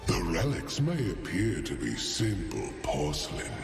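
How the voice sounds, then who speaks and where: A man voicing an ancient, arrogant celestial dragon for a video game, pompous, gravelly and condescending. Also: low voice, huge pitch swings, exaggerated voice. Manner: pompous, haughty, theatrical, slow. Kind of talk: video game character voice lines. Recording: reverberant hall effect, faint music.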